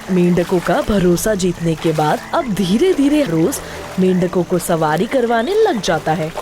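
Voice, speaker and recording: A woman narrator reading a scripted moral story for children. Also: mid-range voice. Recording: music behind the narration.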